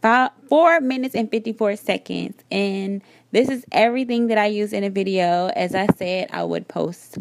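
A woman in her thirties talks through her beauty routine step by step in an easygoing, conversational way. Her voice sits at a middle pitch. The voiceover is recorded close and dry, with no room sound. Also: normal speed, vocal fry, few pauses, no echo. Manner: relaxed, chatty step-by-step explaining. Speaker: beauty vlogger. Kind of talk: makeup tutorial voiceover. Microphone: voiceover recorded separately.

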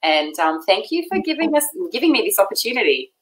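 A middle-aged Australian woman talking warmly and effusively as a guest on a video call. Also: medium pitch, big pitch swings, a little fast, a little hiss or hum. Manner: warm, effusive, laughs often. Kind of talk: casual chat. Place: home room. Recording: echoey room on video call.

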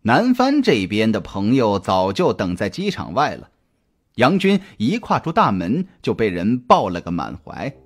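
A man's voice: fairly low pitch, huge pitch swings, unhurried. A man narrating a scripted suspense story as an audiobook reader, in a dramatic storytelling style. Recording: music behind the voice. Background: background music.

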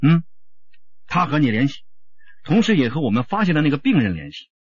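A man's voice: on the high side, very animated, fairly quick, breathy edge, no room sound, lo-fi sound, heavily acted. A man playing a probing, assured police detective in a radio drama, questioning and emphatic. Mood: persuasive, urgent.

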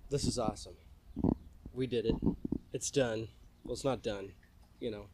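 A man's voice: fairly low pitch, steady tone, moderate pace, very noisy. A young man talking loosely to the camera outdoors about his do-it-yourself project, with fillers and restarts. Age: young adult. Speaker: fishing vlogger. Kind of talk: casual DIY vlog talk. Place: outdoors by a wall in a yard.

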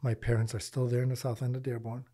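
Podcast guest, man in his 40s, mellow and slightly husky, earnest, restarts his phrases, close studio mic. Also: medium pitch, little pitch movement, quick pace. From Lebanon, raised in Michigan, US. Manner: earnest, repeats words while thinking, uses Arabic religious phrases. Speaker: podcast guest, charity founder. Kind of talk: casual interview conversation. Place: small podcast studio indoors. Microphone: boom-arm studio mic.

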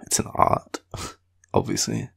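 A tired young Australian man rambling in an intimate boyfriend roleplay, with a husky, gravelly voice and a playful, teasing, halting manner. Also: a bit bright, whispered. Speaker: boyfriend roleplay audio performer.

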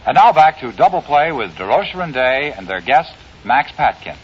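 A man's voice: mid-range voice, sing-song, moderate pace, husky, highs cut off. A man announcing segments of a 1950s radio show, on an old recording.

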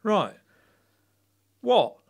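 A woman acting a fussy, easily flustered night-watch town crier in a comic audio play, prim and indignant. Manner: prim, indignant, calls out the hour in drawn-out cries.

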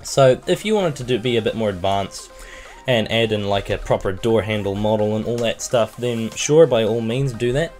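A man with a croaky, sick voice, casually walking through a game-building tutorial step by step. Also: lowish voice, expressive, normal speed, a little mellow. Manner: casual, explains step by step with many okays and uhs. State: hoarse from being sick. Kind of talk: screen-recorded tutorial narration. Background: faint background music.

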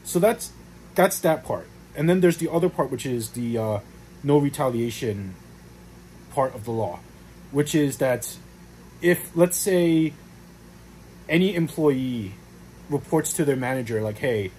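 A man's voice: medium pitch, lively intonation, measured pace, long pauses, darkish tone, slight background noise, low-quality recording. A young man casually explaining workplace rules to camera from a small room at home, with many fillers and restarts. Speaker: vlogger explaining workplace law.

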